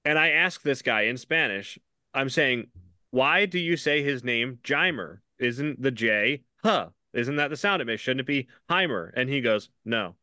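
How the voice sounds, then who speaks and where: A man's voice: mid-range voice, lively intonation, measured pace, pushed and bright, dry recording, studio quality. A man co-hosting a podcast, chatting casually and telling rambling stories, laughing often. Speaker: podcast co-host.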